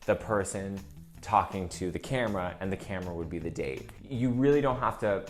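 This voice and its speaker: A man's voice: low voice, lively intonation, fairly quick. A man giving a casual, conversational lesson as a comedy instructor, often dropping "like" into his sentences. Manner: conversational, peppered with "like". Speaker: comedian teaching character reels. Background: faint music.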